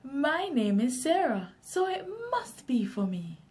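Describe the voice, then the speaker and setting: A woman with a Jamaican accent voicing an excited, delighted little girl in a children's story, eager and exclaiming. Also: high-pitched, takes it slowly, exaggerated voice.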